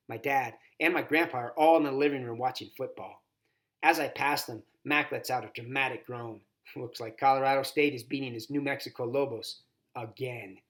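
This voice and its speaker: A man's voice: mid-range voice, moderate pace, a bit bright. A man reading a children's novel aloud expressively, as a volunteer story reader.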